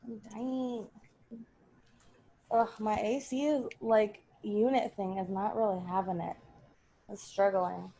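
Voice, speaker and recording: A woman's voice: mid-range voice, fairly even intonation, takes it slowly, creaky, pauses often, warm tone. A young American woman chatting casually, with slangy, freely swearing talk. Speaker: podcast cast member. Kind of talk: casual chat.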